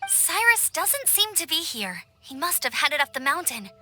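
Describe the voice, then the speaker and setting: An actress playing a spirited, reassuring young woman in a video game cutscene, animated and expressive. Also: sits high, moderate pace. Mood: assured. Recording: music clearly under dialogue.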